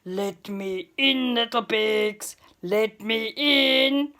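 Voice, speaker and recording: A woman putting on a hungry storybook wolf who bellows his threats slowly and loudly. Each word is stretched out with menace and greed. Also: a little high, big pitch swings, gravelly, exaggerated voice. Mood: menacing. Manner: slow, drawn-out, loud threats. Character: big bad male wolf, greedy and menacing.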